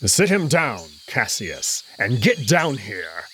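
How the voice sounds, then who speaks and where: A man voicing a menacing, commanding alien wolf who speaks slowly with stern contempt, in a visual novel reading. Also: mid-range voice, sing-song, a bit bright, a little hiss or hum. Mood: curt, commanding. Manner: stern, slow, contemptuous, imperious.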